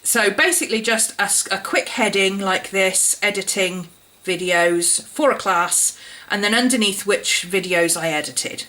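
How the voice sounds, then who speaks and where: Vlogger, woman, croaky from a cold, bright tone, chatty walkthrough. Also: mid-range voice, unhurried. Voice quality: slightly croaky, husky. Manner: chatty, explaining step by step. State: hoarse from a cold. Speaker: planner YouTuber. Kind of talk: casual show-and-tell vlog.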